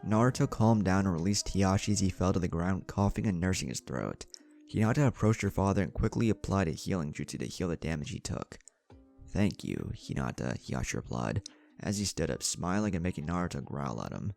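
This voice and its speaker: A man narrates a scripted fan fiction story in a very low voice with a lively, swinging delivery. There is a gravelly fry in his tone, and soft music plays behind him. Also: fairly quick. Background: background music.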